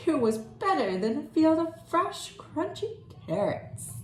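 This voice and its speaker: A woman reading a children's picture book aloud expressively, pausing to comment and put questions to her listeners. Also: high voice, sing-song. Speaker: read-aloud storyteller. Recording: roomy echo, faint background noise.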